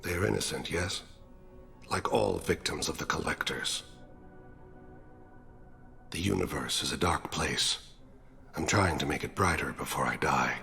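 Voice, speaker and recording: Dying alien assassin, video game cutscene, rock-bottom pitch, thick rasping fry, courteous, philosophical, measured. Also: male voice, sharp tone, soft background music, full character voice. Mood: grave, resolute. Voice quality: gravelly. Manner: formal, measured, solemn.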